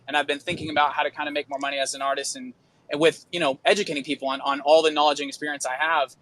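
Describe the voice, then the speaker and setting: A man with a bright, forward voice speaks off the cuff as a guest musician. He rambles in a personal, informal way, sprinkling in slangy filler phrases. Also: fairly high pitch, poor audio. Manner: informal, rambling, frequent "dude" and "you know". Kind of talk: casual chat, personal monologue.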